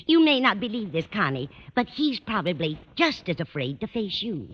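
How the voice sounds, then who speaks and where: A woman's voice: medium pitch, very animated, normal speed, slightly rough. An actress with an American accent playing a motherly, scatty older landlady in a vintage radio sitcom. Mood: fussy, reassuring. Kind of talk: 1940s-50s radio sitcom acting. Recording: dull, noisy old radio recording.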